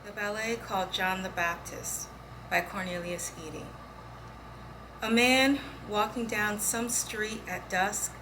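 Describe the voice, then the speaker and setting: A woman reading poems aloud as a poetry reader. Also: mid-range voice. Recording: clear background noise, room ambience.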